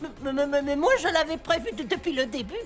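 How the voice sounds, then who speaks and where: A woman voicing a flustered, eager young underdog who stammers and protests in an animated film. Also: sits high, fairly quick, faint music behind, lightly acted. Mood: flustered, insistent.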